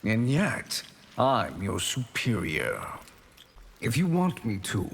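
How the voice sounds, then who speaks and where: A man voicing a haughty scheming sorcerer in a fighting game, with a measured, condescending and menacing manner. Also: medium pitch, big pitch swings, creaky, a bit bright, slight background noise, heavily acted. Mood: smug, menacing. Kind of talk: fighting game character acting. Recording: reverberant hall.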